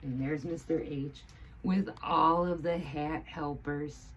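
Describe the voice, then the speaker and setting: Low-voiced, slow-paced woman reading a storybook. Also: slight background noise, rough recording. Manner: expressive, teacherly. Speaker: teaching assistant reading a story to children. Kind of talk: picture-book read-aloud with asides.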